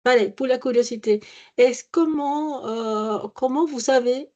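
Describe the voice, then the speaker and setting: A woman moderating a conference panel who asks her questions haltingly, searching for words. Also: high-pitched, darkish tone, dull audio.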